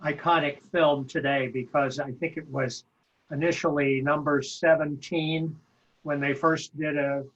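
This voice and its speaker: A man's voice: fairly high pitch, flat delivery, a little slow, a bit bright, dull audio. A man giving casual, informed commentary with digressive asides as a panelist. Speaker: panelist commenting on film history.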